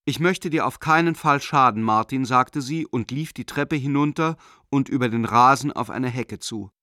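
An actor playing a middle-aged, self-justifying German banker turned Nazi believer, reading a letter in a controlled, formal way in a radio play. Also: male voice, mid-range voice, moderate pace, pushed and bright, no echo, studio quality, lightly acted. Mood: tense, confessional.

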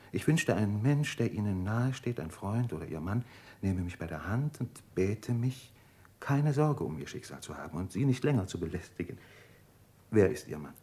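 An actor in a German radio play playing an earnest, lovestruck doctor with a husky voice, speaking formally and deliberately. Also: male voice, fairly low pitch, mellow. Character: earnest, lovestruck Russian male doctor, tormented and tender.

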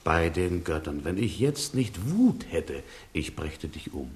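Very deep-voiced learned counsellor. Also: male voice, very animated, full character voice. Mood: calm, admonishing. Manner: deliberate, courteous, didactic. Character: learned, measured philosopher counselling a king. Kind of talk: German radio play acting.

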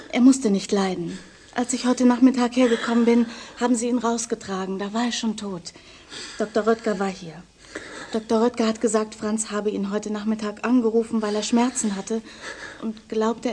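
An actress playing a gentle, composed, discreet woman in an intimate radio drama, with a breathy, husky voice. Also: a little high, steady tone, moderate pace, a little hiss or hum, close to an everyday voice. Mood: grave, consoling.

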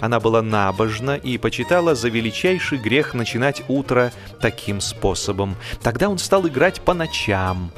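Male storyteller, mid-pitched, wry mock-serious delivery with theatrical pauses for comic literature, music underneath. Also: lively intonation, fairly bright tone. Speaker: audiobook narrator and performer. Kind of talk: theatrical humorous literary narration.

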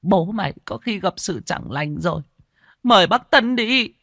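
Guy doing a high, shrill sobbing old village woman, frantic, voice swinging all over, dry close sound. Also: lively intonation, measured pace, creaky, pushed and bright, no room sound, full character voice. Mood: sobbing panic. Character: distraught older village woman, wailing.

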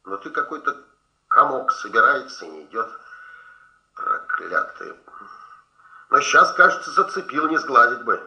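An actor playing a brooding, obsessive middle-aged painter in a radio drama, speaking in emphatic broken phrases. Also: male voice, medium pitch, big pitch swings, unhurried, pauses often, sharp tone, roomy sound, muffled recording, exaggerated voice. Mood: tormented. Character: brooding, obsessive painter, bantering old friend of middle age. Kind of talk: Soviet radio drama acting.

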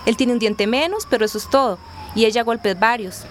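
A woman acting a brisk, kindly doctor in a radio drama, reassuring and authoritative. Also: on the high side, a little hiss or hum, natural voice.

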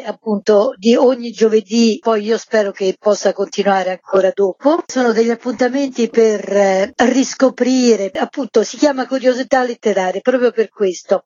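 A woman's voice: a little high, dark tone. A woman answering conversationally as an interview guest, a theatre's artistic director. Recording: tinny phone-like line, roomy echo.

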